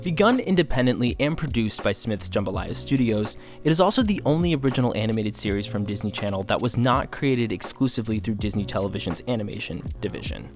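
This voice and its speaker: A man's voice: on the low side, expressive. Male documentary narrator over faint music. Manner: measured, formal, informative.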